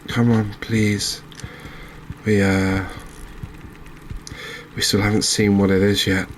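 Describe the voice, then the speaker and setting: Low, even-voiced man doing cozy game commentary. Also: slow, slight background noise. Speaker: gaming channel host.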